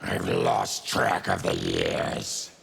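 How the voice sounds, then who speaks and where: A proud, brooding monster warrior from a fighting game. The voice is very low and heavily rasping, lurching dramatically in pitch. The words come out grave, formal and menacing. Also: male voice, huge pitch swings, extremely slow, fairly bright tone, heavily acted. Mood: grim. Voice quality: gravelly, guttural rasp. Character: feral, diseased monster warrior, a proud brooding former tribune. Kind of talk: video game character acting.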